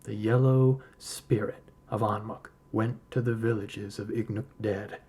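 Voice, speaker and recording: A man reading a literary story aloud as a narrator, in a measured, solemn storytelling manner. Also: on the low side, fairly even intonation, dark tone. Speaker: podcast host reading a short story aloud.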